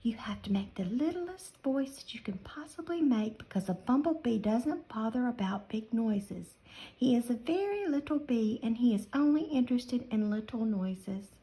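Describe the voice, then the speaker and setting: A woman doing a hushed wise old groundhog. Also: a little high, lively intonation, normal speed, close to an everyday voice, poor audio. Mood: confiding. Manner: hushed, confiding. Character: wise old male groundhog, calm and kindly.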